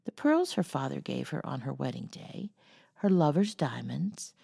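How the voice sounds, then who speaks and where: A woman reading a classic novel aloud as a storyteller, with a creaky voice and a measured, cozy delivery. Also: rumbling low, expressive, mellow. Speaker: storyteller, host of a read-aloud series.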